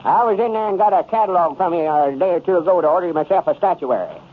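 A man's voice: sits high, expressive, quick pace. An actor playing a vain old country man with a rural Southern US accent and a pompous drawl, in old radio comedy style. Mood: officious. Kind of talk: 1930s radio comedy acting. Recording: noisy, tinny old radio recording.

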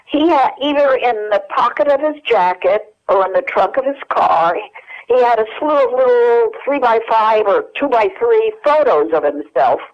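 An older woman reminiscing as an interview guest over a telephone line, with many pauses and restarts. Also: fairly high pitch, expressive. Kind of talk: casual phone interview.

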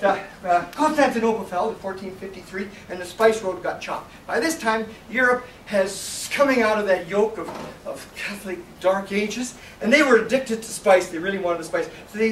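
An older man giving a casual slide lecture on sailing history, rambling and animated, with frequent restarts and asides. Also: high voice, slight background noise. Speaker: sailing presenter. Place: darkened lecture room with projector. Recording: echoey room from a distance, faint ambience.

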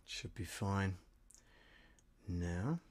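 A man's voice: sits low, animated, very slow, darkish tone. A middle-aged man in casual live-stream commentary, muttering to himself as he works at a desk microphone. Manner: muttering to himself while working. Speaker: voiceover producer and streamer. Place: small indoor studio at a desk. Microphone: desk microphone close up.

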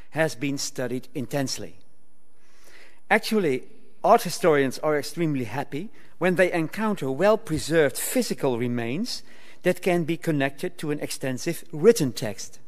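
An older man reading a formal academic paper aloud at a lectern, measured and occasionally stumbling over words. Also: medium pitch. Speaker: conference speaker. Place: lecture hall, speaking at a lectern. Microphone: lectern microphone. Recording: reverberant hall.

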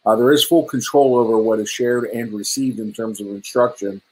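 A man's voice: on the low side, normal speed, darkish tone, low-quality recording. A middle-aged man casually presenting slides over a webcam video call, hesitant and chatty with many ums and restarts. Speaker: presenter at a virtual meetup. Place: indoor room at home.

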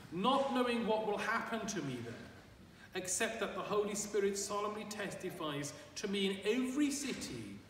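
An older man, a priest in his sixties or beyond, preaching a sermon in a deliberate, emphatic manner with measured phrases. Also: high-pitched. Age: 60s or older. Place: large stone church, at a lectern. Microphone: far camera microphone. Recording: echoey church, distant mic, background noise.

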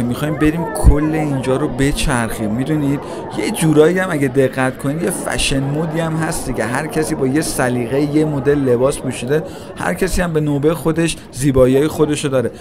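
A man giving a casual, chatty walking-tour narration, talking straight to his viewers as he strolls through a large indoor shopping mall. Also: fairly high pitch. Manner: chatty, addresses viewers directly, musing. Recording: noisy mall ambience. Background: mall crowd ambience.

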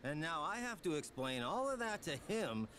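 A man's voice: a little high, sing-song, moderate pace, fairly bright tone, slight background noise. An actor playing a cocky but loyal young man in a video game, exasperated and sardonic. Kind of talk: animated video game dialogue.

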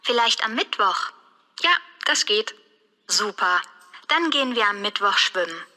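An actress playing a woman planning a swimming trip with a friend, clearly enunciated for a language test. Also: medium pitch, lively intonation, unhurried, sharp tone, long reverb tail. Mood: friendly.

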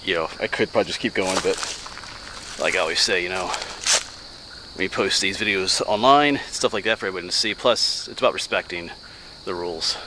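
A man's voice: low voice, brisk. An American man in his forties chatting casually and rambling folksily as he walks a wooded trail, speaking into a handheld camera. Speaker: hiking vlogger and teacher. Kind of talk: casual chat while walking a trail. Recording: outdoor camera audio with clear noise.

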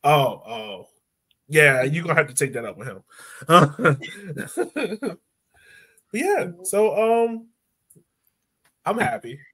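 A man's voice: fairly high pitch, big pitch swings, takes it slowly, pauses often, sharp tone, slightly rough. A young man chatting casually and jokingly on a sports podcast, with informal slangy phrasing, from home on a video call. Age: young adult. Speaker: podcast host.

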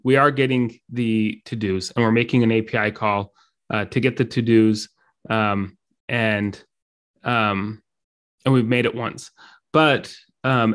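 A man with a dry throat from a cold, explaining code during a live screen-share talk, with many pauses and restarts. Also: medium pitch. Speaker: meetup speaker.

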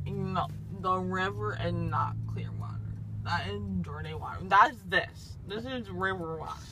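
A young woman talks in a groggy, slurred ramble, her numb mouth softening the words. She drifts into silly sing-song snatches at a mid pitch and an unhurried pace. Road noise from a moving car hums clearly under her, caught on a phone. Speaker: patient after wisdom teeth removal. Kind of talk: loopy rambling chat. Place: passenger seat of a moving car. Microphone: phone camera held by driver.

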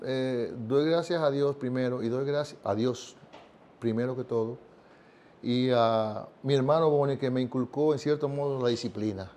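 A man's voice: medium pitch, normal speed, warm tone. A man in his sixties, a singer, talking earnestly in an interview and often repeating words as he searches for them. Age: 60s. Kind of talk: casual interview chat. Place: bright living room set, indoors.

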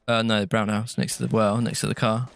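A man's voice: fairly low pitch, level tone, fast, creaky, very noisy. A man from Britain co-hosting a game stream, chatting casually, thanking donors and singing little jingles. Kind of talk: casual chat while gaming. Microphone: gaming headset.

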